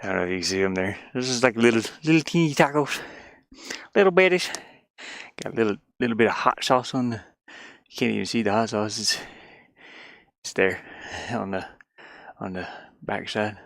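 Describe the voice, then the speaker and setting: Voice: mid-range voice, lively intonation, moderate pace, vocal fry, long pauses, fairly bright tone, no room sound, clean studio sound. A middle-aged streamer chatting casually on a livestream, rambling playfully and often repeating words. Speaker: game streamer.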